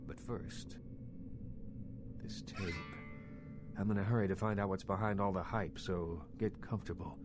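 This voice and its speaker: A man voicing an imperious, territorial underworld boss in a video game, speaking in formal, measured, eloquent phrases with cool menace. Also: fairly low pitch, natural voice. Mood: condescending, measured. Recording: music behind the voice.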